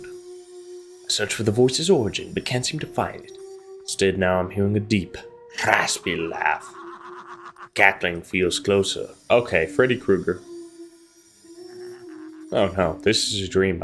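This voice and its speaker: A man with a very low voice hosts a gaming video over faint background music. He reads story narration aloud and drops in casual commentary of his own. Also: animated, unhurried, long pauses, a bit bright.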